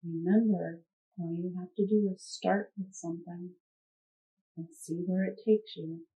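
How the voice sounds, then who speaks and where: Slow-paced woman reading a picture book. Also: fairly low pitch, rough recording. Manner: expressive, gently prompting. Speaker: puppet show storyteller. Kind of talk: read-aloud picture book with conversational questions.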